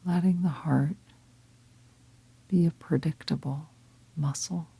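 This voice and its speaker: A woman leading a guided meditation in a calm, gentle, breathy half-whisper, unhurried and with long pauses. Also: low-pitched, rough recording. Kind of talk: guided meditation, slow soft-spoken instruction.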